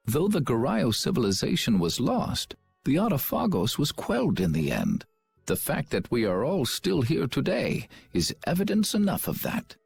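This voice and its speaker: Video game village elder, old: husky, gravelly, courteous, highly varied melody, music behind. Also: male voice, wildly swooping pitch, darkish tone, dry recording, heavily acted. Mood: solemn. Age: older. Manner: unhurried, formal, courteous. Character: slow, mild, wise old village elder man.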